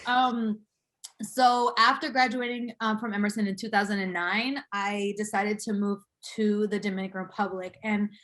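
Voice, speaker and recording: A woman's voice: medium pitch, level tone, normal speed, a bit bright. A woman in her thirties from Boston, telling her life story as an interview guest, reflective and full of fillers and small laughs. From Boston, USA. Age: 30s.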